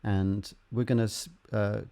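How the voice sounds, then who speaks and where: A man teaching music production in an unscripted tutorial, haltingly thinking aloud as he works through each step. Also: lowish voice, level tone, gravelly, warm tone, smooth. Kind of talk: unscripted software tutorial, explaining while demonstrating.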